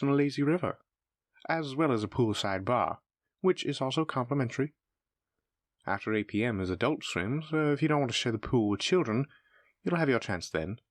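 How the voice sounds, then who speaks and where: Man playing a lively, courteous demon hotel receptionist. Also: medium pitch, no echo, studio quality, close to an everyday voice. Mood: delighted, helpful. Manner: polite, formal, effusive hospitality patter. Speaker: hotel front desk clerk. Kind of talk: scripted roleplay audio addressed to the listener.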